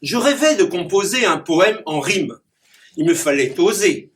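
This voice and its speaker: A man's voice: high voice, expressive, measured pace, a bit bright. A man who is a clown and poet, reciting rhyming comic poems to a small gathering and chuckling at his own puns. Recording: distant mic with background noise.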